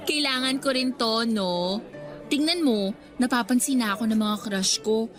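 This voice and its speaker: Woman as an eager, high-voiced teenage schoolgirl. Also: big pitch swings, takes it slowly, faint music behind. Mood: teasing, pleased. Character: chirpy, eager teenage schoolgirl enjoying new popularity. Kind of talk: scripted radio drama dialogue.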